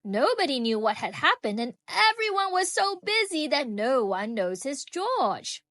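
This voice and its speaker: A woman reading a children's book aloud in an expressive, animated way, drawing out key phrases for young listeners. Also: sits high, a little slow, dry recording, studio quality. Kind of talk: expressive storybook read-aloud.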